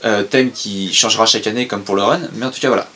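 A man's voice: lowish voice, steady tone, fast talker, a bit bright, a little hiss or hum. A young man co-hosting a casual chat, steadily explaining news and announcements. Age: young adult. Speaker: co-host, son. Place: room with shelves of toys and merchandise.

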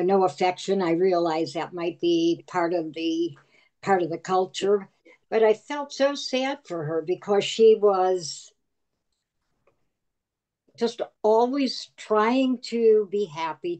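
An older woman sharing her thoughts on a film in a video call, slow and thoughtful. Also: lowish voice, a little mellow. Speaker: discussion participant. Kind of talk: casual video call discussion.

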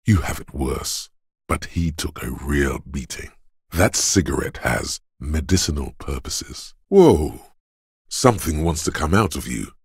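A grim commanding inner voice of a beat up detective in a game, super deep and raspy, solemn narrator delivery, bone dry recording. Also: male voice, lively intonation, no echo, full character voice. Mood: grim, insistent. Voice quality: gravelly, husky bass. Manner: deliberate, portentous, commanding. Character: Endurance, grave inner voice of a battered detective's body.

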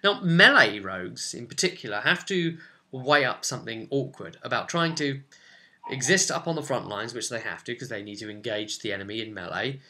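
A man's voice: mid-range voice, animated, a little fast, sharp tone. A man in his twenties hosting an informal gaming explainer at home, talking in a rambling, conversational way with frequent tag questions. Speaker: tabletop gaming channel host. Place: small furnished room at home, indoors.

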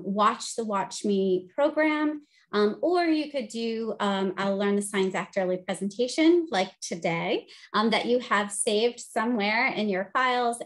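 A middle-aged woman with a high-pitched voice, teaching a webinar over a video call with an upbeat, friendly delivery full of "um"s. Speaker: presenter and teacher. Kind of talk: instructional webinar presentation. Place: home office, video call.

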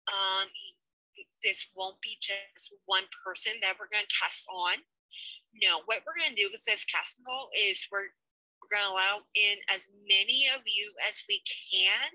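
Young woman host, very bright and sharp voice, earnest and rambling, dry headset sound in a small room. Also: medium pitch, no echo, rough recording. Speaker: channel host. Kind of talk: casual update to viewers. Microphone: headset mic on webcam.

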